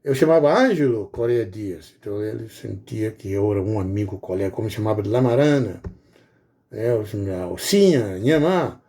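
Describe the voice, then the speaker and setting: A man's voice: lowish voice, lively intonation, moderate pace, mellow. Earnest man with a Guinea-Bissau accent. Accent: Guinea-Bissau Portuguese. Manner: earnest, repetitive pleading with many hesitations. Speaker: video blogger. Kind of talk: informal monologue to viewers.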